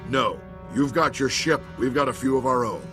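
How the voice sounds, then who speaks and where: An actor playing a weary, honorable older military commander in a video game, gruff and plain-spoken. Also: male voice, mid-range voice, normal speed, music in the background, close to an everyday voice. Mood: gruff, resolute. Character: weary, gruff, honorable old army marshal. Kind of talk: dramatic video game voice acting.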